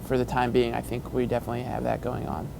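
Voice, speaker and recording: A man with a gravelly, monotone voice describes the campaign team, halting and filling pauses with hesitant ums. A low rumble hums faintly behind him. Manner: halting, hesitant, many fillers. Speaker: campaign staffer. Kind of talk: documentary interview.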